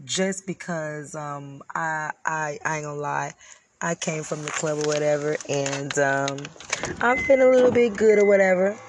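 A sick woman with a scratchy voice talks bluntly to her listeners in a casual solo podcast. She speaks from a parked car, and steady noise runs under her voice. Her delivery is direct and preachy. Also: deep voice, moderate pace. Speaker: podcast host. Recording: noisy car recording.

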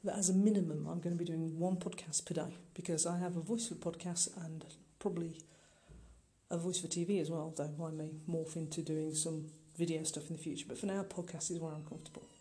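Middle-aged podcast host, mellow dark tone, medium pitch, informal solo monologue, earnest, emphatic, direct address. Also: big pitch swings, fairly quick. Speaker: podcast host and online marketing business owner.

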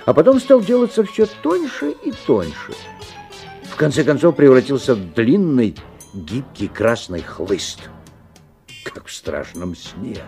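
A watchful, uneasy father narrating a vintage radio play. His delivery is highly animated and dramatic, with music beneath the voice. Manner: expressive, dramatic storytelling. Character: anxious, watchful father recounting a strange shop visit.

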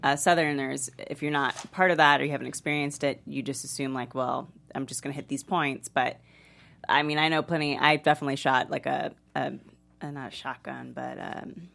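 A woman in a casual panel chat, talking haltingly in short broken phrases. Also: rumbling low, fairly even intonation, creaky, fairly bright tone.

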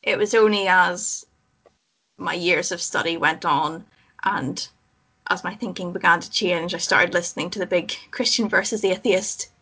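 Podcast guest: Northern Irish woman, husky voice, articulate, candid and wry, on slightly dull lo-fi audio. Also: fairly low pitch, steady tone, moderate pace, pushed and bright. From Belfast, Northern Ireland. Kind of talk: conversational personal account.

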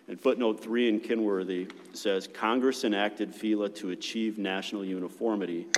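A man arguing as attorney for the appellant before an appellate court, earnest and deliberate as he cites case law. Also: on the low side, a little mellow. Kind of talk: appellate oral argument. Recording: long courtroom reverb.

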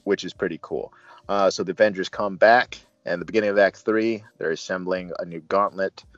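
A man's voice: low voice, fairly even intonation, brisk, fairly bright tone. A man casually talking through a film as a review host, conversational with playful asides. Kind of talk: casual film discussion, recapping scenes. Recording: roomy, dull sound with music.

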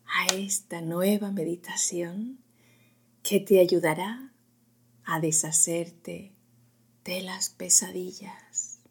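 A woman narrating a guided sleep meditation in a gentle, unhurried, whispery voice, in short phrases with long pauses. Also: lowish voice, fairly even intonation. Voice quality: breathy, whispery, often dropping into whisper.

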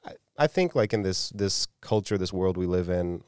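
Deep-voiced young man co-hosting. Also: lively intonation, normal speed, a little mellow, clear, resonant tone, no echo, studio quality. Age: 20s. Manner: earnest, rambling, many restarts. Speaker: podcast co-host. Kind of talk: casual podcast conversation.

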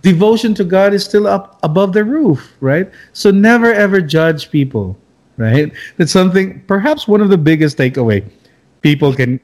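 Filipino male host, slightly high voice, chatty and affirming. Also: lively intonation, normal speed, a little mellow. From Philippines. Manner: encouraging, many fillers and tag questions. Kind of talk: casual interview chat.

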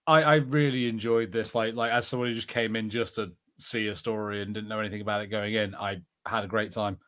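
A man's voice: fairly low pitch, steady tone, a little fast, a bit bright. A man co-hosting a podcast in casual banter, speaking in an easygoing, agreeable way. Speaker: podcast co-host.

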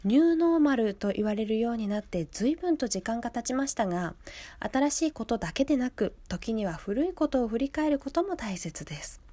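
A woman's voice: on the high side, lively intonation, brisk, dark tone, dry recording. A woman presenting a radio show, addressing listeners in polite, orderly announcer phrasing.

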